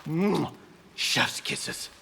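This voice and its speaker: An actor playing a vain, flippant movie-star fighter in a video game, smug and joking, over background noise. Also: male voice, fairly high pitch, animated, extremely slow, creaky, bright, forceful tone, exaggerated voice. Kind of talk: video game character dialogue.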